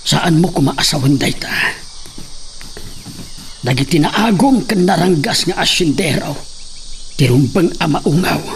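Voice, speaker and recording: An earnest family man turned resistance planner in a radio drama. His fairly high, gravelly voice is grave, measured and resolute. Faint noise and chirping insects sit behind him. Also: expressive. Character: earnest father and husband planning a village resistance.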